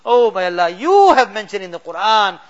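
A man's voice: sits high, sing-song, normal speed. A man leading a fervent late-night supplication as an imam, pleading with constant repeated refrains. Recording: dull lo-fi recording.

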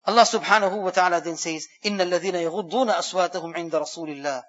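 A man preaching and telling stories, his voice rising to loud emphasis and then falling to a hush. He runs through Arabic verses in a quick chant. The recording sounds a little dull and lo-fi. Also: sits high, soft background music. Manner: shifts between loud emphatic lines and hushed quiet ones. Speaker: Islamic preacher. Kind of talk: sermon storytelling.

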